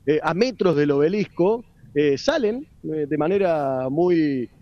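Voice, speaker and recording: A man's voice: a little high, expressive, measured pace, no room sound, highs cut off. An Argentine man reporting live from the street, hesitant with many fillers. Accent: Argentine Spanish. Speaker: field reporter.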